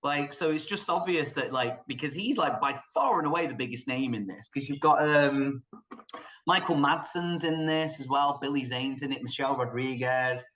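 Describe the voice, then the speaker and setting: Casting: British male podcast co-host, mid-pitched voice, informal, blunt film chat. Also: moderate pace, a bit bright, echoey room. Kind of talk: casual opinionated film discussion.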